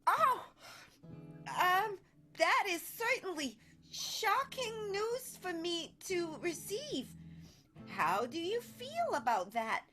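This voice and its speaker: Actress playing a gushing high-pitched woman, slow and swoopy. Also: big pitch swings, over music, heavily acted. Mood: stunned, tactful. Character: gushing, eager woman accountant who doles out advice. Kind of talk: improvised radio soap opera.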